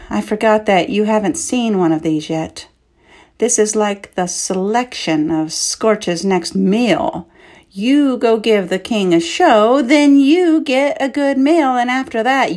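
A woman's voice: mid-range voice, animated, slow pace, darkish tone, pitched above the performer's own voice. Woman voicing a resigned fellow prisoner. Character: weary young male prisoner explaining.

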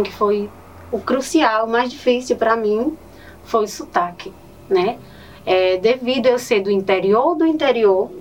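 A woman with a Northeastern Brazilian accent, a teacher answering interview questions earnestly and often adding "né". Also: a little high. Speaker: teacher and poet, interview guest. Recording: distant mic with faint ambient noise.

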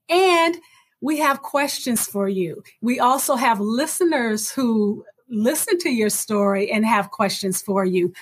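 High-voiced woman hosting a radio show.

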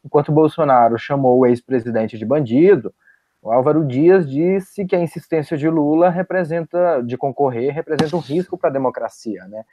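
A man's voice: fairly high pitch, moderate pace, no room sound. A man with a Brazilian accent giving political commentary as a panelist, on a dull, lo-fi recording. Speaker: journalist on a podcast panel.

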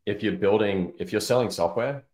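A man's voice: fairly low pitch, fairly quick, a little mellow. A man giving explanatory, opinionated answers as an expert guest in a casual interview. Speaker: interview guest, head of product marketing. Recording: roomy, echoing sound.

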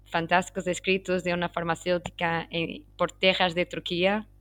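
A woman's voice: on the low side, little pitch movement, normal speed, a bit bright, no echo. A woman from Portugal whose native language is Portuguese, speaking Spanish with a Portuguese accent as a guest pharmacist. She talks in a heartfelt way and repeats words as she searches for them. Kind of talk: casual conversation.

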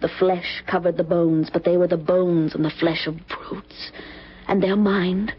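A woman's voice: on the low side, little pitch movement, measured pace, slightly rough. An actress playing a solemn, resolute young woman from a cursed family, in melodramatic old-time radio style. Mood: anguished, grim. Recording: noisy, muffled old radio broadcast.

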